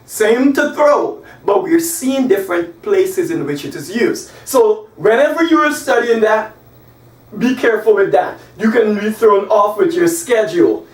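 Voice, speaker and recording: A man in his forties from Guyana, teaching an English lesson at a whiteboard and spelling out example sentences slowly and with emphasis. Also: high voice. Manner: animated, gesturing, slow emphatic example sentences. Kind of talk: classroom lesson. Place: small room by a whiteboard. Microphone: camera across the room. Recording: echoey room, voice from a distance.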